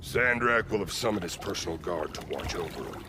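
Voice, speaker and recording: An actor playing a gruff, folksy cave-dwelling male mentor with a wry, colloquial way of talking, in a game cutscene. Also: sits low, expressive, a little fast, noisy background. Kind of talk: video game cutscene acting.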